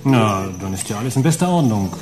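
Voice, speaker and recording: An actor as a young, lively-pitched shop clerk. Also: male voice, fairly quick, music in the background, lightly acted. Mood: polite, hesitant. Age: young adult. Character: polite, slightly muddled young male shop clerk. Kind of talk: radio drama acting.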